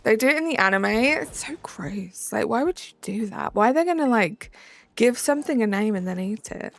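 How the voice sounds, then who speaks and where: A woman's voice: on the high side, expressive, moderate pace, creaky. A young woman with a Southern English accent, chatting casually on a live stream and answering viewers' questions. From Southern England. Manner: chatty, answers chat with fillers like "um" and "like". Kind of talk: casual live-stream chat reading comments.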